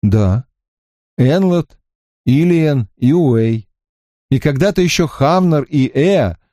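A dreamy young prince, calm and thoughtful, reciting his words slowly and evenly, as a male narrator puts him on. His voice is warm and mellow, set a little high, and it sits close and dry on the mic. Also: dry recording. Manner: slow, measured, dreamy.

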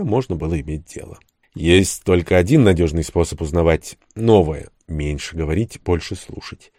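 A man's voice: sits low, mellow, dry recording, clean studio sound. A man reading first-person fiction as an audiobook narrator, in a colloquial, wry storytelling manner.

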